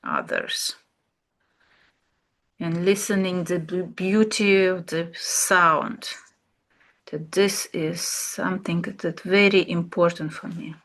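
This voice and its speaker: A woman, an English speaker, teaching an art therapy class, speaking slowly and reflectively with fillers and pauses. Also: lowish voice, sing-song, a bit bright. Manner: slow, searching, with fillers and pauses. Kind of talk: relaxed reflective class talk.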